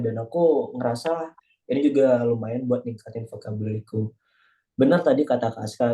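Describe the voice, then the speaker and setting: Chatty young Indonesian man, mellow tone. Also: medium pitch. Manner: chatty, self-deprecating, jokey. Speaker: class participant. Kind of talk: casual group discussion. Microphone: video call.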